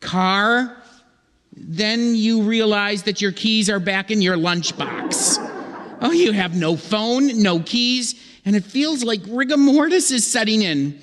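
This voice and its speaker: A man's voice: high-pitched, animated, slow pace, a bit bright. A man narrating a comic sketch theatrically in old-time radio style, on a reverberant theatre stage.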